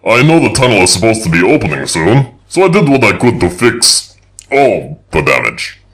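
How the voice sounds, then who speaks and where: A man playing a good-natured, slightly slow-witted handyman adventurer in an audio drama, with a deliberate delivery. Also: lowish voice, sing-song, fairly bright tone. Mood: friendly. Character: Gamma, amiable, slow-witted, helpful male handyman adventurer.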